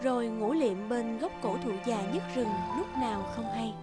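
Woman narrating over very loud music. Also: a little high, normal speed. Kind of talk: scripted fairy-tale narration for cartoon.

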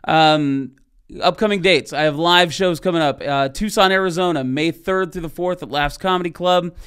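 A man's voice: fairly high pitch, normal speed, a bit bright, clean tone. A man in his forties hosting a casual comedy podcast, getting over a cold, with a jokey, self-deprecating way of talking into a studio mic. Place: small studio room. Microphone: studio mic on arm, headphones.

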